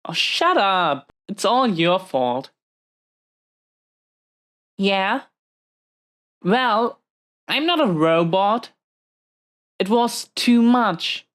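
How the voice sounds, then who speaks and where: A woman's voice: mid-range voice, big pitch swings, very slow, pauses often, a bit bright, heavily acted. A woman playing a protective, hot-tempered but tender supervillain in prison, in an intimate audio roleplay, speaking defensively and hesitantly to an unseen listener. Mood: defensive, irritated.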